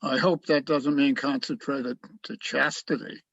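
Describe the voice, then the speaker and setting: A man's voice: mid-range voice, steady tone, measured pace, vocal fry, fairly bright tone, no room sound, muffled recording. A man acting in a radio play as a cocky, awkward young philosophy student, emphatic and argumentative. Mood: defensive.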